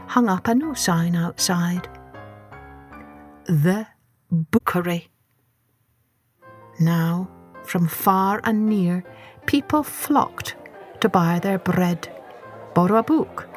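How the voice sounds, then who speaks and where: A woman narrating a children's story as a podcast storyteller, dramatic and savoring each word with deliberate pauses. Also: lowish voice, darkish tone, faint music behind.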